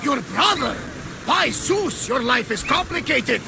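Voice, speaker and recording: An actor playing a hearty, pious old ship captain in a video game. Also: male voice, extremely high pitch, big pitch swings, measured pace, creaky, noisy background, full character voice. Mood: shocked. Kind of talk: video game cutscene acting.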